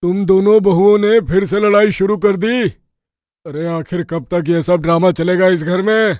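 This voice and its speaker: A man's voice: high-pitched, steady tone, moderate pace, darkish tone, no room sound, clean studio sound, lightly acted. A man playing a stern, fed-up older father-in-law in a cartoon story, admonishing his family. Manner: admonishing, declarative. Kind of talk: Hindi cartoon story acting.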